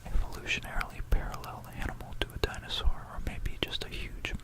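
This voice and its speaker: An ASMR host speaking in a breathy, unvoiced whisper, with a gentle, rambling, hesitant bedtime delivery in an informal style. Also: a little fast. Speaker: ASMR video creator and host.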